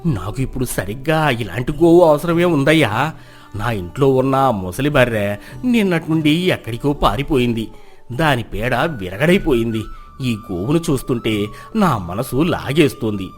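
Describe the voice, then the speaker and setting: A man performs a greedy, gullible villager of fifty in a storybook tale. His voice sits low and pleads eagerly. Music plays behind him. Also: animated, normal speed, natural voice.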